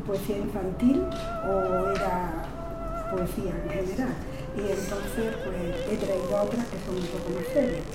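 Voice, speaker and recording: Distant woman reciting poetry in a hall. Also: medium pitch. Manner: hesitant chat, then slow declaiming recitation. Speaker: poetry reciter at a community reading. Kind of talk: poetry recitation with spoken introductions.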